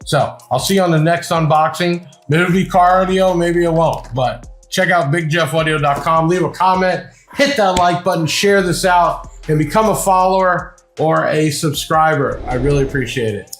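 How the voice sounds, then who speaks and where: A man's voice: on the high side, normal speed, hardly any pauses. Casual man reviewing gear in an echoey room. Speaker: video host and shop seller presenting products. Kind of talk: casual unboxing review, talking to camera. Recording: echoey room with music behind.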